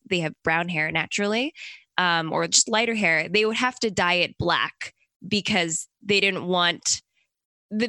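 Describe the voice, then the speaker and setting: A woman's voice: mid-range voice, sharp tone, dry recording. A young woman from Canada answering interview questions in a chatty, upbeat way with plenty of likes and ums. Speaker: interview guest.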